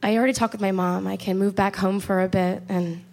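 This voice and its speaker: A woman's voice: mid-range voice, steady tone, brisk, gravelly, a little mellow, close to an everyday voice. A woman playing an exasperated, worn-out young woman in a horror radio play, earnest and emotionally strained. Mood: regretful, resolute. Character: exasperated, worn-out young woman leaving her troubled girlfriend.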